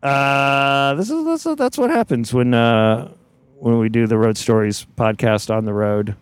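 A man's voice: medium pitch, lively intonation, unhurried, smooth, no room sound. A man from Indiana hosting a podcast, chatting casually and teasing his guest while riding in a car. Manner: laughs often, teasing. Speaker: podcast host. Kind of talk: casual chat. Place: inside a moving car.

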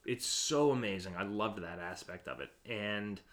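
A man's voice: on the low side, moderate pace, fairly bright tone. A man hosting a podcast in casual chat, talking in an opinionated, emphatic way and swearing freely. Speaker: podcast host.

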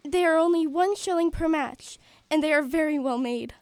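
Radio play: polite, hopeful little match girl, high-pitched adult woman acts her, earnest, formal delivery, dry close sound. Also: normal speed, dry recording, close to an everyday voice. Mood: polite, grateful. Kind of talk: stage-style radio play acting.

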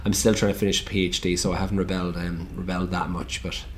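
A man's voice: sits low, little pitch movement, fast, slight background noise. A young Irish man who runs restaurants, chatting casually in a radio interview with lots of restarts. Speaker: restaurant owner and chef.

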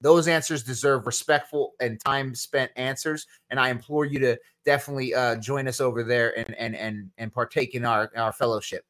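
Man, new jersey accent, podcast host, casual live q&a, energetic, mid-pitched voice. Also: normal speed, hardly any pauses. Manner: casual, energetic, answers chat questions with restarts.

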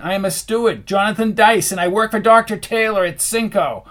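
A man's voice: high-pitched, fairly quick, fairly bright tone, voice pushed up. A man voicing an arrogant, bossy little male steward in an audiobook, haughty and challenging.

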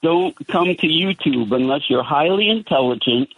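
A man's voice: fairly high pitch, expressive, moderate pace, slightly rough. An older man calling in to a radio show, chatting in a friendly, advising, rambling way. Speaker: caller. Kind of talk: phone-in chat with host. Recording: tinny phone line.

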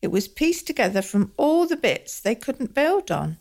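A woman's voice: sits high, sing-song, normal speed, a little mellow, exaggerated voice. A woman acting in a radio play as a thoughtful townswoman observing her home. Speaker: community theatre actor.

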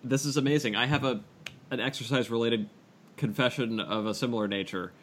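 A man's voice: medium pitch, a little fast, fairly bright tone. A man co-hosting a podcast, chatting casually with friends in a wordy, self-deprecating way. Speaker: podcast co-host. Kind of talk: casual chat among friends.

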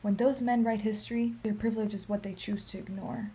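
An actress playing a sorrowful, dignified old Armenian woman in a radio play, telling her story in a solemn, measured, emphatic way. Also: mid-range voice, fairly even intonation, warm tone, close to an everyday voice. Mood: pleading, resolute.

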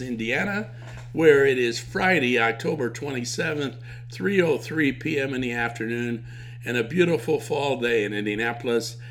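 A man hosting an interview podcast, speaking haltingly with many fillers and restarts. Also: mid-range voice, vocal fry, slight background noise. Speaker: podcast host.

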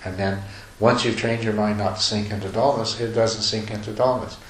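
A man giving a meditation talk to a retreat group, talking loosely with many restarts and soft chuckles. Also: on the low side, steady tone, brisk. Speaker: meditation teacher. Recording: echoey room, faint noise.

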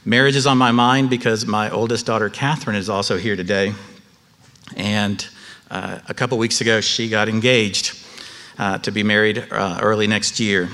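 A middle-aged man from Cuba, raised in the American South, with a Southern accent, preaching an earnest and personal sermon, often pausing on "uh". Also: fairly low pitch, fairly even intonation, sharp tone, in a large hall. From Cuba, raised in Atlanta. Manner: earnest, personal, with frequent "uh" fillers. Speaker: pastor. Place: church sanctuary.

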